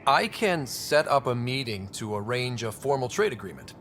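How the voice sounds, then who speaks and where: An actor playing an earnest, easygoing young human explorer in a video game, with a polite, wry, conversational manner. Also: male voice, mid-range voice, big pitch swings, normal speed, fairly bright tone, slight background noise. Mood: calm, wry. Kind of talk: video game dialogue acting.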